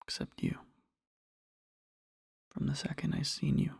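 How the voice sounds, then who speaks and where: A man playing an adoring boyfriend in a soft ASMR roleplay, murmuring slowly and tenderly to a sleeping partner in a breathy, husky whisper. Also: fairly high pitch, sing-song, dry recording, clean studio sound, full character voice. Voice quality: breathy, husky, with creaky fry. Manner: slow, halting, tender murmuring.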